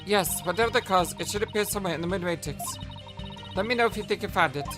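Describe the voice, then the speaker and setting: A woman voicing a calm young female counselor, guiding gently, her nose stuffy. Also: medium pitch, quick pace, voice pushed up, natural voice. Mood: soothing. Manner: soothing, guiding. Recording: game music behind the voice.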